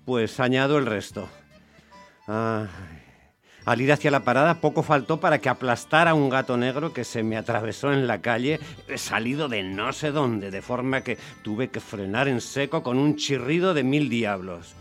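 An actor playing a superstitious, aggrieved taxi driver who tells his story as an animated, complaining radio monologue. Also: medium pitch, moderate pace, faint music behind, natural voice. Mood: indignant. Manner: animated complaining, rhetorical questions to the listener. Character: aggrieved, superstitious male Roman taxi driver telling his bad day. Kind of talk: dramatic monologue in radio theatre.

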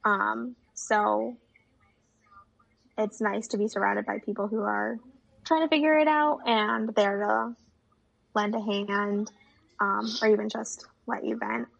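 A woman's voice: mid-range voice, steady tone, slow pace, vocal fry, long pauses. A young woman talking as a guest in a casual conversation, with a chatty manner full of fillers. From United States. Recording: dull lo-fi audio.

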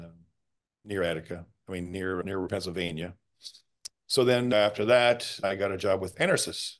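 A man with a notably deep, low voice recounts his working years plainly. He speaks haltingly, pausing on fillers as he goes, in a casual manner. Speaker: podcast guest. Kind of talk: casual career recollection.

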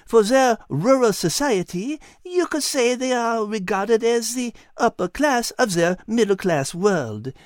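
Man putting on an elegant high-pitched Frenchwoman animal voice. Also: no room sound, clean studio sound. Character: elegant, clever French female animal, gracious and bookish.

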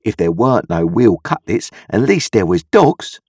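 A kindly country blacksmith as acted out by a male storybook reader, reasoning things out earnestly in homespun, ungrammatical speech. His voice is slightly rough and swings widely in pitch, recorded dry and close. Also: sing-song, unhurried, darkish tone, no echo, full character voice. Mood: amazed, pleading. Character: kindly, slow-reasoning uneducated country blacksmith, adult man.

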